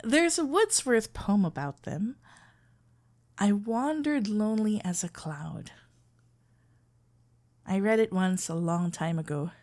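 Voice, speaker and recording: A woman playing a shy, tender florist best friend in love, talking haltingly and awkwardly in an intimate ASMR roleplay. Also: mid-range voice, lively intonation, darkish tone. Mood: soft, reflective. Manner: halting, stammering, awkwardly earnest. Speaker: audio roleplay performer.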